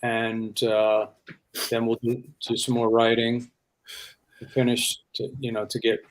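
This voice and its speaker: A man, a rock bassist, talking casually as a guest in an interview. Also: completely flat delivery, a little slow, rough recording.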